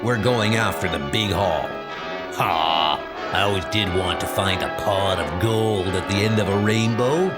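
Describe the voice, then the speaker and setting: A man voicing a bossy, greedy crook in a comic book dub, with a gruff, menacing delivery. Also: sits low, unhurried, voice pushed down. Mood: menacing, gloating. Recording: loud music under the voice.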